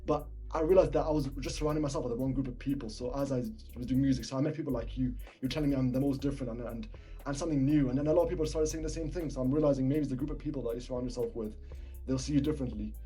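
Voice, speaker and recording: A man's voice: medium pitch, steady tone, very fast, rough recording. A young man from Palestine with a British accent, raised speaking Arabic too, talking casually as a rapper guest, a little mumbly and swallowing words. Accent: British English. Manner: soft-spoken, slightly swallowed words. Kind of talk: casual podcast interview. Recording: faint background music.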